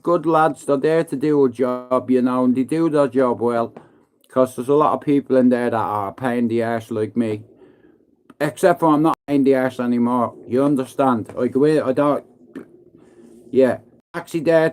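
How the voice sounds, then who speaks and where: A man, living in Manchester, telling a rambling, profane story on a livestream and acting out his own lines to others. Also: mid-range voice. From Ireland. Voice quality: profane, slangy, calls everyone bro. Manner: animated, acts out his own threats and taunts in hushed voice. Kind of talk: casual storytelling monologue.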